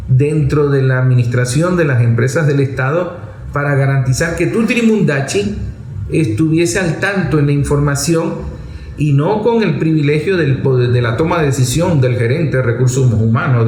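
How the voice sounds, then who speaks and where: High-voiced Venezuelan man, blunt commentary. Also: a little mellow, reverberant, slight background noise. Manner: blunt, colloquial, emphatic, with rhetorical questions. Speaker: political commentator. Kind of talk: unscripted political commentary monologue.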